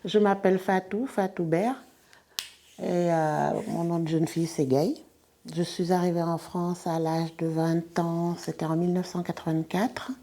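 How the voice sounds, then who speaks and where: A woman in her sixties who grew up in Senegal talks about her life for a documentary. Her voice sits low and mellow, and she speaks in an unhurried, reflective way, letting sentences trail off. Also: flat delivery. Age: 60s. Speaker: interviewee. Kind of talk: documentary interview.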